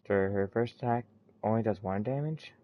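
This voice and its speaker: Low-voiced man muttering through gameplay. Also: phone-quality sound. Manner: halting, muttering to himself while reading. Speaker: gaming streamer. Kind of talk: casual gameplay commentary, reading card text aloud. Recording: faint background noise.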